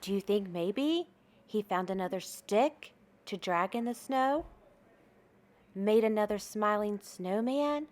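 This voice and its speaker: A woman reading aloud to young children as a storytime host, expressive, stretching out key words and putting questions to her listeners. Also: medium pitch, slow.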